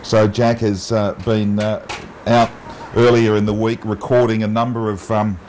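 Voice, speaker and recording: An Australian man presenting an unscripted amateur TV walk-through, halting often with ums and uhs. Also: lowish voice, level tone, a little mellow. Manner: halting, with long um and uh pauses. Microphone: wireless mic pack. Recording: noisy amateur TV transmission audio.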